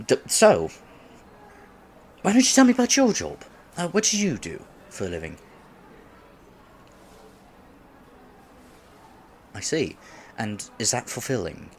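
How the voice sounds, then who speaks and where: A man's voice: medium pitch, huge pitch swings, normal speed, slight background noise. A man in his twenties playing a nervous, polite young silver fox kitsune who wants to seem mature, in an ASMR date roleplay, speaking formally with stammers. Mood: sheepish, rambling. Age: 20s. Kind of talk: ASMR roleplay, scripted one-sided date conversation.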